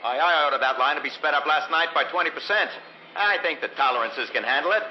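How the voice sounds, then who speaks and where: A brash, greedy American plant boss, acted by a man in a vintage radio play. He talks in a hurried, dismissive way, fairly high, through slightly dull radio sound. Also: a little hiss or hum, lightly acted. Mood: smug, breezy. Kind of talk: old-time radio drama acting.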